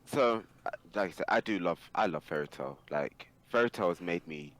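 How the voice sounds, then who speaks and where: A man's voice: low voice, expressive, normal speed, fairly bright tone. A man talking casually and emphatically on a podcast as an opinionated, sweary anime fan. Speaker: podcast guest or co-host.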